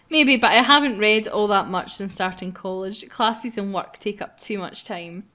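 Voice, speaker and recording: A woman's voice: mid-range voice, measured pace, sharp tone. A woman with a mid-range voice hosts a gaming session. She reads visual novel lines aloud casually and playfully, giggling and poking fun at herself. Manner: giggly, self-mocking. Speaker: gaming video host.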